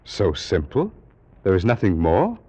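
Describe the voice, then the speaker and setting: Worldly Egyptian priest friend, hearty formal exclamations, swooping low voice, vintage radio drama. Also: male voice, wildly swooping pitch, a little slow, dark tone, slightly rough, full character voice. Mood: awed. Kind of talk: 1940s radio drama acting. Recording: muffled old-time radio recording.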